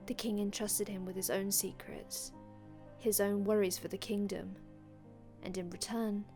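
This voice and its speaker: A wistful vampire from another age , acted by a woman, recounts old tales in an ASMR roleplay. The delivery is hushed and breathy, with little swing in pitch and long dramatic pauses. The wording is formal and antique, and soft music plays underneath. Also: flat delivery, lightly acted. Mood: wistful, hushed storytelling. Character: melancholy otherworldly vampire from an older time, telling old tales.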